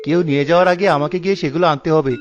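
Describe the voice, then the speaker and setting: A man's voice: a little high, very fast, dull audio, natural voice. A man voicing a stingy, irritable village man in a cartoon, grumbling and defensive. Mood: scheming. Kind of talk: cartoon character voice acting.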